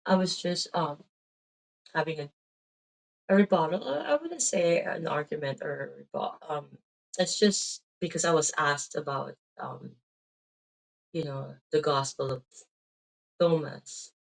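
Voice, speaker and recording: A woman's voice: deep voice, lively intonation, measured pace, creaky, long pauses, low-quality recording. A middle-aged woman with a Filipino accent gives a tired, rambling casual talk about her faith, full of "um"s and "right?" tags. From Philippines. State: tired, drained of energy.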